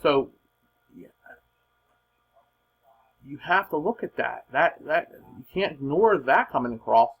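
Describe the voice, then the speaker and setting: Husky man, very animated weather commentary. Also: a little high, slow pace, long pauses, highs cut off. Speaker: amateur weather forecaster. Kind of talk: informal weather model commentary, unscripted.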